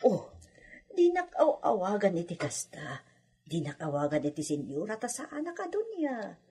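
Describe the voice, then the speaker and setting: A woman acting a wealthy, gracious middle-aged mother in a radio drama, with a husky voice. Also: medium pitch, wildly swooping pitch, a little slow, mellow, exaggerated voice. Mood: insistent, friendly. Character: wealthy, gracious mother who shuns formal titles.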